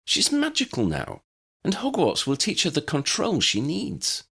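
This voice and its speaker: A man as an emphatic, high-lilting clever young witch. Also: huge pitch swings, measured pace, creaky, pushed and bright, breathy edge, full character voice. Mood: insistent, scolding. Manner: insistent, emphatic. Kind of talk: storybook character acting.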